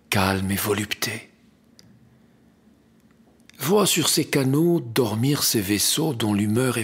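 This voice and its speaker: A man with a strikingly husky, breathy voice recites classic verse. He takes his time over each phrase, with gravel in the tone and hushed touches that sink toward a whisper. The delivery is dramatic and deliberate. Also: medium pitch. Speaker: poetry reader.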